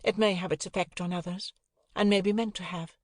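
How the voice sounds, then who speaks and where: A man's voice: high voice, fairly even intonation, brisk, no room sound, clean studio sound, voice pushed up, lightly acted. A man voicing a proud, cold young woman who speaks in a lofty, dismissive way. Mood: cool.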